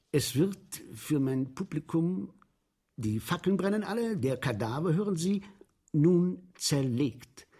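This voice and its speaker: A man's voice: a little high, a little mellow, breathy edge, full character voice. An actor playing an obsessed, obsequiously polite showman in a German radio play, savoring gruesome details with theatrical insistence. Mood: savoring, grave.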